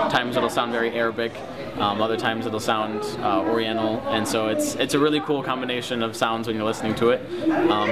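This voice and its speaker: Chatty young man over crowd noise. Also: mid-range voice. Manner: chatty, many ums, laughs easily. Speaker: interviewee, singer and language creator. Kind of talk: casual interview answers. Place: busy convention hotel. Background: loud crowd chatter.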